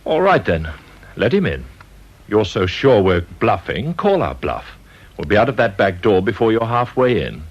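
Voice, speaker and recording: A man's voice: on the low side, sing-song, normal speed, slightly rough, lo-fi sound. An actor playing a male blackmailer in a radio drama, sardonic and politely threatening. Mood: taunting threat. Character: smooth, menacing male blackmailer, calmly mocking.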